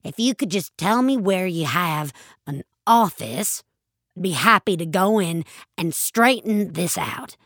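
A woman voicing an anxious, crotchety elderly woman in an audio story, halting and pleading. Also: on the low side, lively intonation, a bit bright, no room sound, clean studio sound. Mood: flustered, pleading. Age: older. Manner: halting, pleading, old-fashioned.

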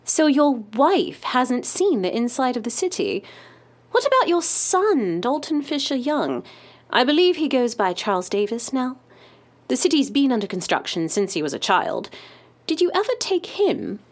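A woman's voice: high voice, sing-song, moderate pace. A woman playing a probing news reporter in an audio drama interview, polite but pointed and pressing.

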